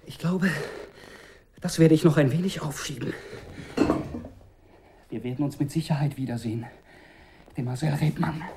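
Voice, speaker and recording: An actor playing a cool, calculating young strategist in a radio drama, speaking in formal, measured, precise phrases. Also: male voice, fairly high pitch, noisy background, close to an everyday voice. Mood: coolly defiant. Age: young adult. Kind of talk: German radio drama acting.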